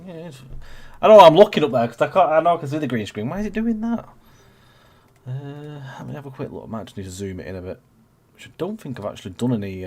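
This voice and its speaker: A man with a blocked-up, cold-ridden voice hosts a relaxed gameplay stream. He rambles and restarts, filling gaps with ums as he reads the chat. His pitch sits right in the middle for a man. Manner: rambling, chatty, with many fillers and restarts. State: congested with a cold. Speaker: stream host. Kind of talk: casual gameplay livestream chat.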